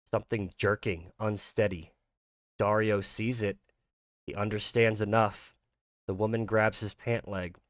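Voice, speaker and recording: Low-voiced man narrating survival horror. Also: fairly even intonation, unhurried, dry recording, studio quality. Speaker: narrator and creator. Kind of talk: dramatic scripted horror narration.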